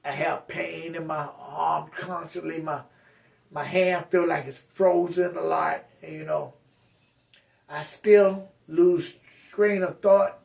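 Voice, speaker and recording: A man's voice: fairly high pitch, slow, creaky, a little mellow, recorded from a distance. A man vlogging a casual personal update to his viewers, with slurred speech and a rambling, straight-talking way of speaking full of fillers and restarts.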